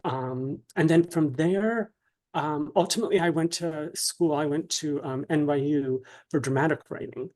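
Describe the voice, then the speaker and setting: A man with a slightly high-pitched voice talks as a guest in an interview. He explains his craft conversationally and haltingly, feeling his way through sentences and tagging them with "right?". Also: a little mellow. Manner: halting, often checks with "right?".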